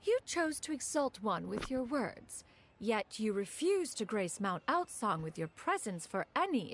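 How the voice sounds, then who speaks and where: A woman's voice: medium pitch, slight background noise. A woman voicing an ancient, proud female crane spirit in a game cutscene, speaking in an archaic, formal way and calling herself "one".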